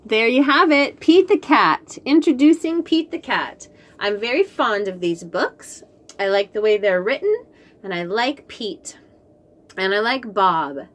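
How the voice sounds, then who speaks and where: A woman reading a picture book aloud to young children, slow and expressive, then chatting about the books. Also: fairly high pitch. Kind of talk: read-aloud storytime for young kids.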